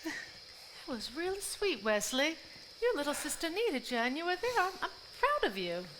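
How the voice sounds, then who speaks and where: An actress in a live stage play playing a sympathetic female relative, earnest and expressive. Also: sits high, moderate pace, slight background noise, rough recording. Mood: tender.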